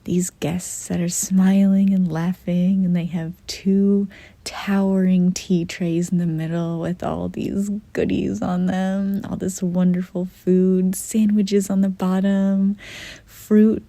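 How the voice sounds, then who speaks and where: A woman softly narrating a guided visualization meditation in a gentle, breathy half-whisper, pausing often mid-sentence. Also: lowish voice, little pitch movement, dry recording.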